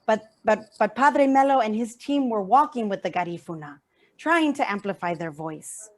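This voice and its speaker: A woman's voice: medium pitch, expressive, normal speed. A woman from San Francisco, giving an earnest, heartfelt speech and repeating phrases for emphasis. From San Francisco Bay Area, USA. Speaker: activist speaker. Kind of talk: heartfelt speech at an online event.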